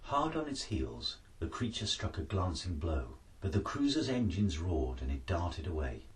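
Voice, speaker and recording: A man's voice: deep voice, sing-song, a little slow, far from the mic. A man reading an adventure story aloud as a dramatic scripted narration. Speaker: author-narrator reading his book.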